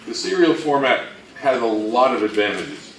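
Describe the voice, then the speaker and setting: A man giving an informal, explanatory talk on radio history as a presenter, speaking somewhat haltingly. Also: mid-range voice, animated. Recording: roomy, distant mic, faint noise.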